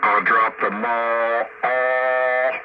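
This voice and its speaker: A man's voice: medium pitch, flat delivery, drawn-out words, over loud music. A man chatting casually over two-way radio in a colloquial, grumbling way. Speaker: licensed radio operator. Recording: noisy muffled two-way radio transmission. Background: heavy radio static.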